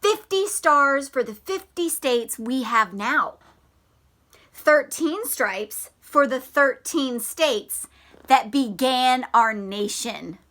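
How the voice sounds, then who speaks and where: A woman teacher reading a picture book aloud to children in a deliberate, expressive way, pausing to explain and ask questions. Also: high voice. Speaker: elementary school teacher.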